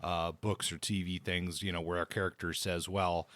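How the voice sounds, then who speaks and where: A man's voice: deep voice, flat delivery, moderate pace, a bit bright, no echo, clean studio sound. A man co-hosting a book podcast in casual discussion, thinking aloud and often rewording as he goes. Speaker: podcast co-host.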